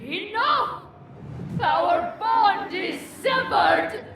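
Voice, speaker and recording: A woman's voice: very high-pitched, expressive, gravelly, a faint crowd, heavily acted. A woman voicing a proud, wrathful corrupted villainess spirit in a video game, imperious and grandiose, with an echoing otherworldly effect. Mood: furious, defiant. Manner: imperious, grandiose, drawn-out theatrical delivery. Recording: echoing, distant, under loud music.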